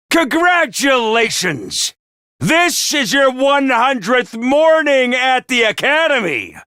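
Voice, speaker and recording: An actor playing a theatrical male academy commander who swings from booming cheer to menace, in a game. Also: pushed and bright, clear, resonant tone, no room sound, studio quality, full character voice. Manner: booming, over-the-top cheer. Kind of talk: video game voice lines.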